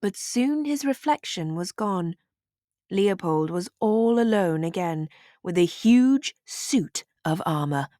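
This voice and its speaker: A woman narrating a children's storybook aloud in an expressive, deliberate way. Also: lowish voice, no room sound, clean studio sound.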